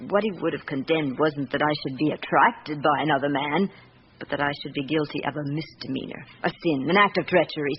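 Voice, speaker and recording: An actress playing an embittered middle-aged estranged wife in a radio drama, with a British accent and a reflective, confiding manner. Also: female voice, sits low. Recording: muffled, noisy old radio recording.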